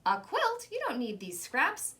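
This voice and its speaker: A woman's voice: sits high, huge pitch swings, unhurried, pushed and bright, heavily acted. A woman reading a children's storybook, voicing a busy, practical, mildly exasperated mother. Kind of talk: children's storybook read-aloud.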